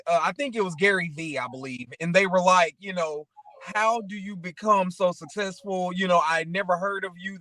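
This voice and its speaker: A man with a notably high-pitched voice talks in a casual, motivational way. He rambles through anecdotes and keeps checking that the listener follows. The sound is dry and close, with very little room. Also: bright, forceful tone, no echo. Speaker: talk show co-host.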